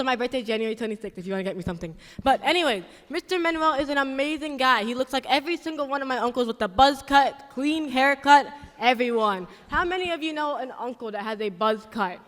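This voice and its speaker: A man's voice: very high voice, fairly bright tone. A young man hosting a school assembly interview on a handheld mic, chatty and playful with teasing asides. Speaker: student event host. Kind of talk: joking live interview on stage. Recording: long hall reverb.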